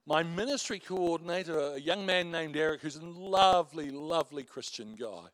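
A middle-aged man preaches with a big voice, telling stories from the pulpit and poking fun at himself. His voice sits a touch high for a man. The sound is dry, with little room around it. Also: moderate pace, no room sound. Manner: animated storytelling preacher, warm asides and self-mocking laughs. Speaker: pastor. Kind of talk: sermon.

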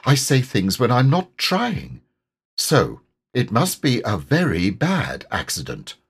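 A man voicing a humble, slow-witted, amiable toy bear in a children's storybook, speaking in a hesitant, earnest way. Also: mid-range voice, wildly swooping pitch, breathy edge, heavily acted. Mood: bothered, muddled. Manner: hesitant, ponderous, simple and earnest.

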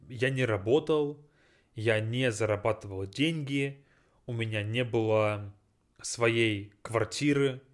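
A young man from Russia teaches at an unhurried pace. His pitch swings widely as he stresses key words. He repeats phrases and translates them for learners. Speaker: language teacher. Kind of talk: slow teaching monologue mixing Russian and English.

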